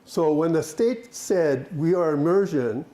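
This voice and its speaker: Man, workshop presenter, mellow tone, slightly high pitch, animated. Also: unhurried. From Hawaii. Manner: animated, laughs and asks the group questions. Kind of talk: interactive workshop talk.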